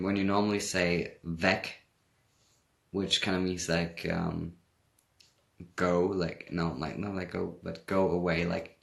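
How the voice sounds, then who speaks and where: A man's voice: deep voice, vocal fry. A young man from Hamburg with a German accent, chatting casually on a livestream, reading viewer comments and laughing often. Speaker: livestream host.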